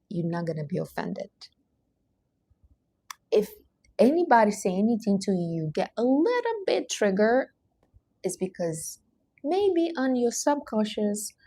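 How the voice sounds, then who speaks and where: A woman's voice: mid-range voice, a little mellow, no room sound. Earnest Portuguese-accented woman streaming live. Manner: earnest, emphatic, non-native grammar. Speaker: livestream host. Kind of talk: motivational livestream talk.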